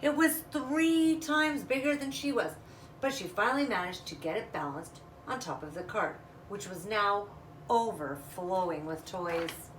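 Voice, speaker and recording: A woman's voice: mid-range voice, lively intonation, a little slow. A woman reading a children's book aloud expressively, adding casual asides as storyteller. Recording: roomy, distant mic, faint noise.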